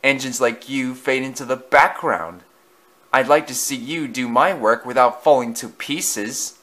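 A man voicing a big, vain, boastful male engine with a grandiose, drawn-out, theatrical manner. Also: medium pitch, pushed and bright. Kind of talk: storybook voice acting.

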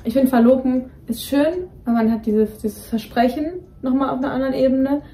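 A woman's voice: high voice, fairly quick, mellow. Young woman rambling in a roomy bedroom. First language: Greek is partly her home language. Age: young adult. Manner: rambling, many fillers and restarts. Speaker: co-host. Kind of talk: casual chat. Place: dim bedroom, indoors. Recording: roomy echo, voice somewhat distant from mic.

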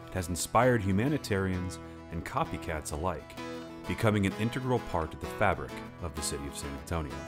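A man narrating a short documentary in a measured, formal scripted voice-over. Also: sits low, expressive. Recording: voice-over with guitar music behind.

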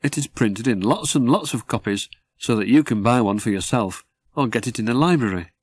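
A man reading his own children's story as a measured, scripted narration. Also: medium pitch, lively intonation, slightly rough, no room sound.

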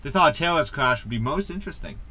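Man acting bright-voiced, cocky space captain impostor. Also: on the high side, lively intonation, racing. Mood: glib. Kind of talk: science fiction comedy audio drama.

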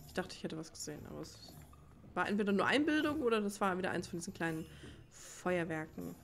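A woman's voice: lowish voice, expressive, fast, slight background noise. A woman giving casual let's play commentary, rambling and thinking aloud as she plays. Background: faint game music.

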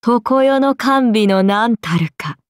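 A woman's voice: a little high, lively intonation, takes it slowly, dry recording, clean studio sound. A woman voicing a haughty, amused and predatory ancient serpent-god oni in a game, speaking in archaic, imperious, languid phrases. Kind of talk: Japanese game character voice lines.

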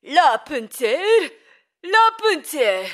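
A woman's voice: high-pitched, big pitch swings, moderate pace, no echo, clean studio sound. A woman voicing a domineering, scolding old witch in a children's storybook cartoon. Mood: commanding. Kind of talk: children's musical storybook acting.

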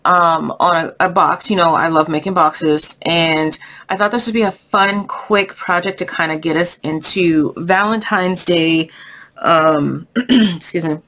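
Congested, lower-pitched woman crafter. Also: measured pace, fairly bright tone. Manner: chatty, informal, thinking aloud. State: congested from a sinus infection. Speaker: craft tutorial host. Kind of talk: casual hands-on craft tutorial. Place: indoors at a craft table.

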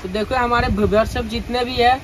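A young man working as a shop salesman, rattling off items and prices in quick lists. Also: very high-pitched, steady tone, a bit bright, slight background noise. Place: crowded cosmetics shop, indoors.

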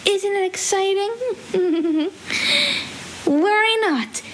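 A woman's voice: extremely high pitch, very slow, exaggerated voice. A young woman voicing a manically cheerful, deranged female cartoon villain creature with a gleeful, sinister, coaxing delivery. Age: young adult. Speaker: amateur voice actor. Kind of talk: theatrical character voice lines. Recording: faint hiss, home recording.

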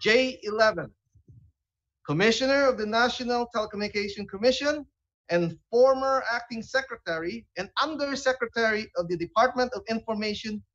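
Male host, Filipino accent, very high voice, formal introductions, dull video-call sound. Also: a bit bright. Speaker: talk show host. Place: indoor room, on a video call.

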